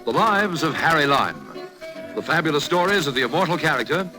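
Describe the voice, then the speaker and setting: Casting: man as old-time radio announcer, formal and proclaiming, slightly high voice, music loud underneath. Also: sing-song, brisk, low-quality recording. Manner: formal, declamatory. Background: loud zither music.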